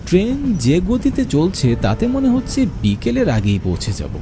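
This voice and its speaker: A man's voice: sits high, huge pitch swings, moderate pace, noisy background, full character voice. A man playing an earnest postmaster, narrating his own ghost story expressively in a scripted cartoon. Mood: resigned, steady. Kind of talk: first-person scripted cartoon horror narration. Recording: music behind the voice.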